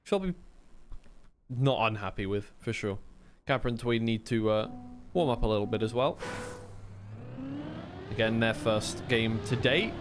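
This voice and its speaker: A man's voice: on the low side, a little slow, long pauses, faint music behind. A man commentating live on an esports racing match, casually rambling and correcting himself between bursts of play-by-play. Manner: rambling, self-correcting asides.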